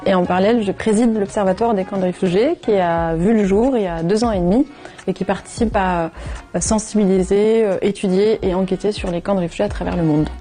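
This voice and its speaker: Woman, twenties, mellow tone, measured and halting, faint music underneath. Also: mid-range voice. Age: 20s. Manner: measured, hesitant with fillers. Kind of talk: self-introduction in a video.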